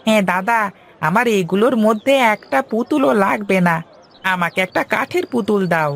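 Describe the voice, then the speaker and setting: A woman's voice: mid-range voice, lively intonation, moderate pace, gravelly. A woman voicing an obliging, easily flustered male servant in a cartoon, eager and folksy. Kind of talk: cartoon voice acting.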